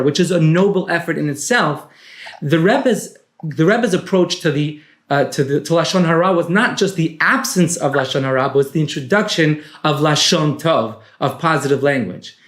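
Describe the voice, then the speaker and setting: A man, a rabbi and author, explaining teachings in a measured, didactic way. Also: on the high side, echoey room.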